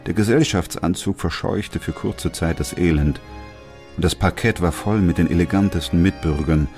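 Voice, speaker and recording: An actor playing a weary, sober doctor and narrator in a German radio play, speaking in a measured, restrained way. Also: male voice, sits low, a little mellow, soft background music. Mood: sober narrating. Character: weary, sober male doctor who narrates a plague chronicle.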